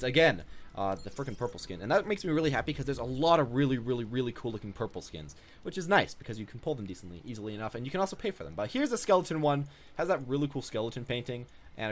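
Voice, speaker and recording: A young man giving casual, off-the-cuff commentary as he shows off items in a video game. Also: medium pitch. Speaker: gaming video creator. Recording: noticeable background noise.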